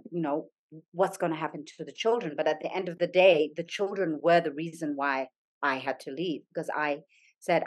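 Earnest, low-voiced woman from Zambia. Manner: earnest, thoughtful, with frequent restarts. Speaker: podcast guest, yoga studio owner. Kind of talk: reflective conversational interview.

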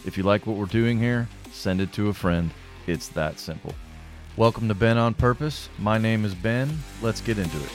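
A middle-aged man with a calming voice, hosting his own podcast in a relaxed, rambling way with self-deprecating humor. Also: fairly low pitch, moderate pace, faint music behind. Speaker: podcast host. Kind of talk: casual solo podcast monologue.

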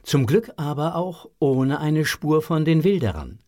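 A man narrating a scripted children's audio story with a husky voice. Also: fairly high pitch, lively intonation, a little slow, warm tone.

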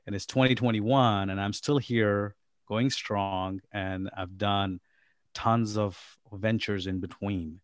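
Low-voiced chatty man from Pakistan. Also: steady tone, slow, no room sound, studio quality. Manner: rambling, many ums and uhs, friendly. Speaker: startup founder and CEO, podcast guest. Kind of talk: casual interview chat.

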